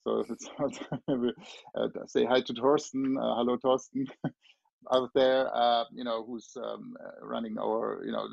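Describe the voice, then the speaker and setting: A middle-aged man from Germany with a German accent, speaking casually and haltingly in a video-call Q&A. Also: mid-range voice, fairly even intonation, vocal fry, a little mellow, no echo. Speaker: company co-founder. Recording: slightly dull video-call audio.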